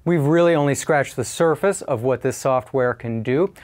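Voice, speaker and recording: A man in his forties giving a scripted product review in a measured, clearly articulated presenter style. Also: mid-range voice, a little mellow, soft background music.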